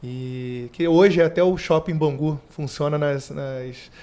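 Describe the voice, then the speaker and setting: A man in his thirties with a Rio de Janeiro accent, a fire brigade commander answering interview questions in an earnest, measured way. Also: a little high, steady tone, dark tone, smooth. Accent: Brazilian Portuguese from Rio de Janeiro. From Rio de Janeiro, Brazil. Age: 30s. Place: university TV studio.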